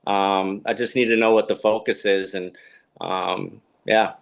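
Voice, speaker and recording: A man in his fifties who joins a town committee meeting remotely and speaks in a halting way, full of fillers and restarts. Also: lowish voice, little pitch movement, gravelly. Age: 50s. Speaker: committee applicant. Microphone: remote call audio.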